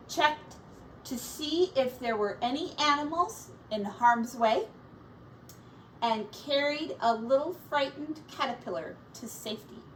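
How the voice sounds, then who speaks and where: A woman teacher reading a children's picture book aloud expressively, pausing to explain words and comment on the pictures. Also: high-pitched. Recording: distant mic with faint background noise.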